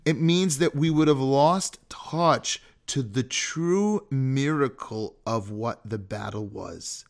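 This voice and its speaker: A man giving an animated, conversational religious lecture, emphatic, with rhetorical questions and frequent checks on his listeners. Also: mid-range voice, takes it slowly, creaky.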